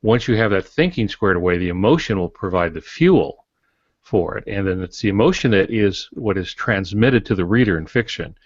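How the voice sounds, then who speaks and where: An older man speaks in a low register, offering advice like a folksy mentor on a podcast. He leans on homespun figures of speech. The sound is close and dry with a somewhat muffled top end. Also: animated, moderate pace, no echo. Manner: folksy, given to homespun metaphors. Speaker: podcast co-host and writing mentor. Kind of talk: casual advisory podcast talk. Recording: dull, lo-fi, dry podcast audio.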